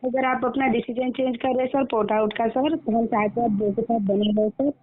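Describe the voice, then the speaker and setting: A woman's voice: on the high side, fairly even intonation, fairly quick. A woman with an Indian accent working as a customer care agent on the phone, polite, formal and repetitive. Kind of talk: scripted customer service phone talk. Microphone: phone line. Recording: tinny phone line with faint noise.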